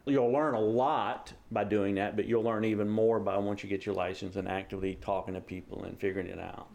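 A man's voice: lowish voice, level tone, fairly quick, creaky, darkish tone. A middle-aged man gives an interview. He explains his hobby in a plain, casual way. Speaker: amateur radio operator being interviewed.

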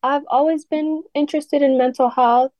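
Teenage girl, high school student, earnest, lots of fillers, higher pitch, dry close sound. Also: fairly even intonation, normal speed, a little mellow, no echo. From Ethiopia. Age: teens. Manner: earnest, frequent fillers like "like" and "you know". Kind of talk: radio interview answers.

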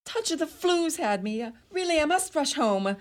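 An actress playing an overwrought, secretly grieving Irish sweetheart in a radio play, with a melodramatic manner. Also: female voice, high voice, very animated, normal speed. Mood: anxious, defiant.

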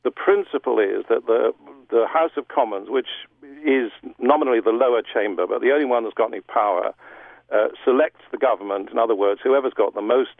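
A middle-aged Englishman, explaining politics in a radio interview with measured pauses and restarts. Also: mid-range voice, gravelly, no room sound. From England. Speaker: guest broadcaster. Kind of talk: radio interview over the phone. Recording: tinny phone line.

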